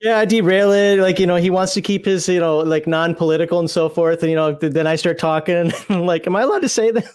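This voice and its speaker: A man's voice: high-pitched, fast talker, hardly any pauses, a little mellow. A man chatting casually on a live stream, rambling with lots of fillers and laughs, his voice a little worn from talking. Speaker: streamer co-host.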